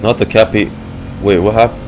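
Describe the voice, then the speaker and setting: A man's voice: fairly low pitch, fairly even intonation, brisk, noisy background. A young man doing casual, slangy game reaction commentary, joking and swearing as he plays. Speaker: gaming streamer. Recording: game music behind the voice. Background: video game music.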